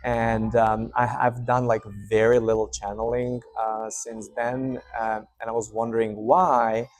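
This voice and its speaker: A man's voice: mid-range voice, level tone, measured pace, no echo. Serbian-accented man, hesitant, very dry sound. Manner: warm, hesitant, many fillers. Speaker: channel host. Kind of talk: casual unscripted update to camera. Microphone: speaking to camera.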